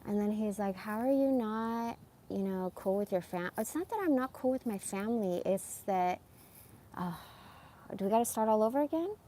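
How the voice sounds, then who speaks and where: A woman in her forties with a medium-pitched voice, talking off the cuff. She is candid and emphatic, drifting through a casual personal monologue full of slang. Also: animated, moderate pace, dark tone, a little hiss or hum. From born in Iran, moved to America as a young child. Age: 40s. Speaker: live streamer.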